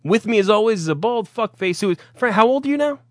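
A man's voice: sits high, lively intonation, quick pace, dry recording, studio quality. A man hosting an internet radio show, teasing and profane in casual comic banter.

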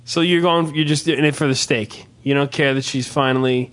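A man's voice: medium pitch, fairly even intonation, moderate pace, a bit bright. A man in casual comedic banter, talking in a teasing, mock-condescending way with plenty of swearing.

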